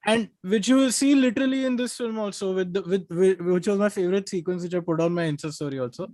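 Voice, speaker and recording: A man's voice: high-pitched, fast talker, a little mellow, no echo. A man with an Indian accent in a casual film discussion, enthusiastic and rambling as he works out his thoughts. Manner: enthusiastic, rambling, repeats words as he thinks. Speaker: podcast co-host.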